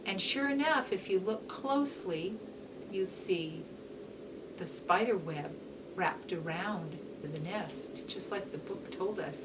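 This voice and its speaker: A woman teacher giving an educational read-aloud for children, explaining as she goes with deliberate, instructive phrasing and emphasis on key words. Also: medium pitch. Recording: distant mic with faint hiss.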